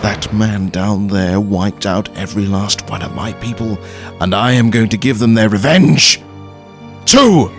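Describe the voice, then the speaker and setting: Slow, menacing assassin, acted by a man, over music. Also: on the low side. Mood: vengeful, resolute. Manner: menacing, deliberate. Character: grim, vengeful assassin, last of his people. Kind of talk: audio drama acting. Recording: music behind the voice.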